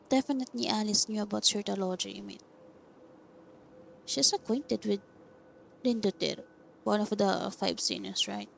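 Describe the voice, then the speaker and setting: A woman's voice: mid-range voice, lively intonation, takes it slowly, creaky, pauses often, a bit bright, slight background noise, rough recording. A woman giving casual, reactive game playthrough commentary, musing aloud as she goes. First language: Filipino. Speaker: gaming video creator.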